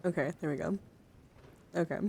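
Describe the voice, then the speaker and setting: Chatty woman streamer with gravelly fry. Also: on the low side, normal speed, a little mellow, a little hiss or hum. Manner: chatty, rambling, swears casually. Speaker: livestream host. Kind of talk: casual livestream chat showing a card collection. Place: indoors, livestream with handheld camera.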